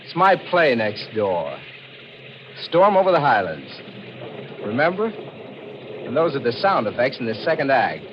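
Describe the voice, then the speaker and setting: A man's voice: medium pitch, very animated, a little slow, pauses often. A man playing an indignant, scornful American theatre man in a 1940s radio drama. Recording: muffled, slightly noisy vintage radio recording.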